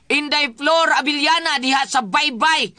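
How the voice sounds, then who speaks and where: A woman's voice: high-pitched, unhurried, pushed and bright, no room sound. A woman with a Cebuano accent hosting radio, chatting through greetings and product plugs. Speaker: radio host.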